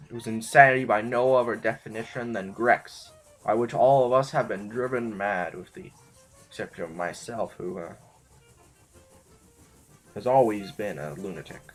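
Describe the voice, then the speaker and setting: Male actor as bitter nobleman mentor, halting musings, theatrical bursts, audio drama, faint music underneath. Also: on the low side, lightly acted, rough recording. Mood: wry, agitated. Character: rambling, bitter, disillusioned duke and mentor, fond of poetry. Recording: music behind.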